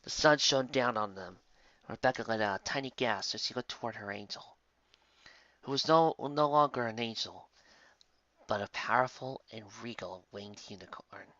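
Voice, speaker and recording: Narrator reading a fanfic story, super expressive, dry close mic sound, bit muffled. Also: medium pitch, normal speed, no echo. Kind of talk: expressive fan fiction reading.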